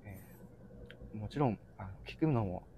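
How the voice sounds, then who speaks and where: Guy hosting a live audio chat, creaky voice, earnest, stumbles for words, bit of noise behind him. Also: on the low side, animated, dark tone. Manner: halting, searching for words. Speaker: live audio show host. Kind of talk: casual, earnest talk to listeners.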